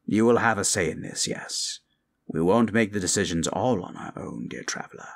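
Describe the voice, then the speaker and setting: A man voicing a measured, knowing sage with a formal, dry manner in an improvised fantasy roleplay. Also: on the low side, close to an everyday voice. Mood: reassuring.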